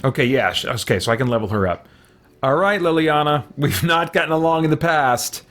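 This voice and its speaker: A man's voice: on the high side, expressive, fairly quick, background noise. Man thinking aloud while gaming. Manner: muttering to himself, hesitant, exclaiming mild oaths. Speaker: gaming video host. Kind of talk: casual let's-play commentary, thinking aloud.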